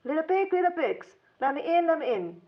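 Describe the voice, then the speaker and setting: A woman's voice: high-pitched, very animated, fairly quick, highs cut off, slight background noise, pitched above the performer's own voice. A woman voicing a greedy, menacing big bad wolf in a storybook tale, chanting threats. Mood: coaxing demand.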